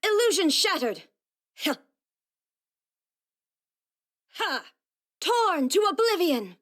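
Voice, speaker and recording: A woman's voice: very high voice, sing-song, moderate pace, a bit bright, full character voice. A woman playing a solemn, resolute immortal warrior guardian in a video game, speaking in formal, stately declarations. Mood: fierce, commanding. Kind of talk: video game fantasy voice acting.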